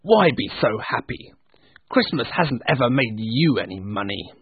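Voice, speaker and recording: A man's voice: medium pitch, big pitch swings, measured pace, husky, dry recording, exaggerated voice. Man playing a curt, thin-sounding old miser. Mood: sour, dismissive. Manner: curt, barking, dismissive. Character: mean, cold old miser, gruff and scornful businessman. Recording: thin, phone-like narrow-band sound.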